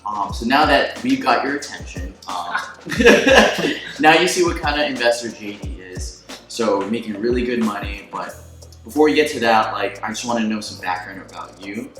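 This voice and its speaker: A man in his twenties hosting a casual sit-down interview, excitable, laughing often and full of fillers. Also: fairly low pitch, normal speed. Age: 20s. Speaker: host. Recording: echoey room with music behind.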